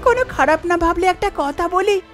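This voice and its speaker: A woman's voice: extremely high pitch, lively intonation, fairly quick, soft background music, pitched above the performer's own voice. A woman voicing an eager, sheepish young village daughter-in-law in a cartoon, exclaiming and pleading. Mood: sheepish confession. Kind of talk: Bengali cartoon voice acting.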